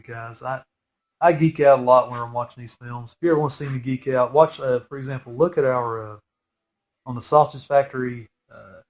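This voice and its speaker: A chatty man in his forties talks through a movie, his voice nasal and stuffy from a head cold. He rambles in a relaxed, conversational way and gets openly excited about what's on screen. His pitch sits right in the middle of the usual range for a man. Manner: rambling, chatty, geeky enthusiasm. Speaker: fan film commentator. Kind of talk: casual movie commentary.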